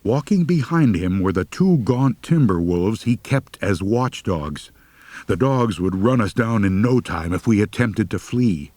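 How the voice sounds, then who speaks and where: A man's voice: fairly low pitch, animated, unhurried, a little mellow, no room sound. A man narrating a humorous first-person story as an audiobook reader, with a wry, mock-serious delivery.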